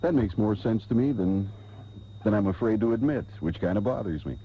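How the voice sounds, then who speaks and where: A man's voice: lowish voice, expressive, brisk, a little hiss or hum. A man working as a radio announcer, giving on-air patter and reading ads. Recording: dull, humming taped radio broadcast.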